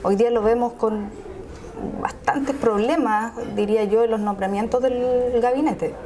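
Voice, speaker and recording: A woman's voice: on the high side, fairly even intonation, unhurried. A woman working as a journalist interviewer, asking probing questions. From Chile. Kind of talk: interview conversation. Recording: crowd murmur behind. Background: crowd noise.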